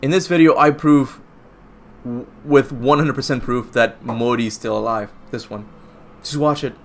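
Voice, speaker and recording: A man's voice: medium pitch, moderate pace, a little hiss or hum. A young man from Cuba hosting a casual gaming Q&A, rambling and self-deprecating, laughing at his own slips. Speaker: gaming channel host answering viewer questions. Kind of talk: casual livestream Q&A chat. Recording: faint music behind.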